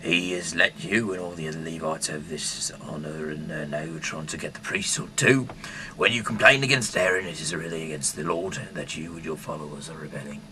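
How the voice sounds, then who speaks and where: A man reads aloud informally, rambling in a halting, bumbling, irreverent way, in an amateur home recording. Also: extremely low pitch, background noise. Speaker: amateur reader of scripture, self-styled rock singer.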